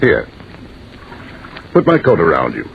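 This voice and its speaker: A courtly, besotted gentleman in a 1950s radio drama, speaking slowly and haltingly in a lowish voice with big swings of pitch. His old-fashioned manner is stiff and awkward. The vintage recording sounds muffled. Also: huge pitch swings, creaky, a little hiss or hum, full character voice. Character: genteel, besotted philandering husband, stiff and awkward.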